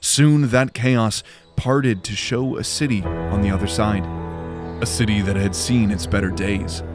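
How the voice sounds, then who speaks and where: Low-voiced male fantasy narrator. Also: fairly even intonation, measured pace, over loud music. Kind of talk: dramatic scripted fantasy narration.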